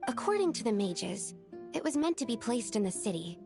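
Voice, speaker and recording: A woman's voice: on the high side, sing-song, a little fast, over music. A woman voicing an earnest, diligent young alchemy assistant in scripted video game dialogue, politely explaining herself. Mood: earnest, explanatory.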